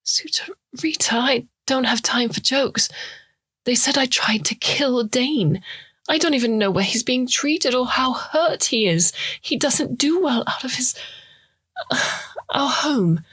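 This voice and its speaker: A woman voicing an arrested woman, urgent and hushed, with a sharp tongue. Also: medium pitch, sing-song, normal speed, breathy edge, no room sound, voice pushed up, full character voice. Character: arrested woman, distressed and defiant.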